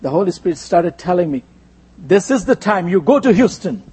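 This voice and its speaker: A man with an Indian accent, a native Gujarati speaker, preaching in short phrases with a husky voice, pausing for an interpreter. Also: high voice, lively intonation, a little mellow.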